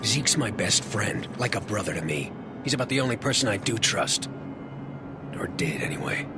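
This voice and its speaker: A man's voice: on the low side, expressive, normal speed, loud music behind the voice, natural voice. A man playing a cynical, world-weary action antihero in a video game, terse and grim. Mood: wary, sullen. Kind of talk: video game narration and dialogue.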